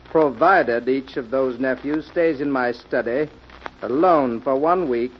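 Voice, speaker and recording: An actor playing a courteous family lawyer, speaking in a formal, measured way in 1940s radio drama style. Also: male voice, mid-range voice, natural voice. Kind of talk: 1940s radio mystery acting. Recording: dull, noisy old radio recording.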